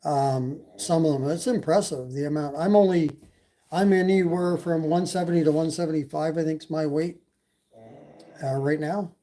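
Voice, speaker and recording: A man's voice: fairly high pitch, fairly even intonation, moderate pace, dark tone. Rambling Canadian man on a live stream. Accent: slight Canadian. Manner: rambling, many fillers, reads chat. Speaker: e-bike channel host. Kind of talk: casual live stream chat.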